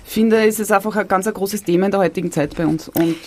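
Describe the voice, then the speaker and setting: A woman songwriter who is a guest on a radio show, speaking reflectively with filler pauses. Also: medium pitch, a little mellow. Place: radio studio.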